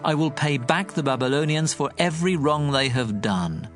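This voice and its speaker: The prophet Jeremiah in a scripture audio drama, grave and earnest, as a man plays him. His voice sits slightly high, and he delivers his warnings in a measured, deliberate way over faint music. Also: expressive. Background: soft music.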